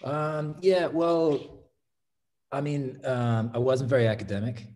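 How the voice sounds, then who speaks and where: An English man in his thirties, a guest artist, talking casually over a video call from his home studio, thoughtful, with many pauses and restarts. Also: medium pitch, steady tone, darkish tone. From England. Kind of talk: casual interview chat. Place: home studio room, indoors. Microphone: laptop webcam video call.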